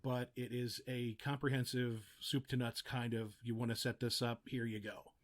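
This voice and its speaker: A man's voice: medium pitch, level tone, moderate pace. A man speaking casually as a podcast guest, explaining audio production in chatty, meandering asides. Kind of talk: casual interview chat, giving practical advice.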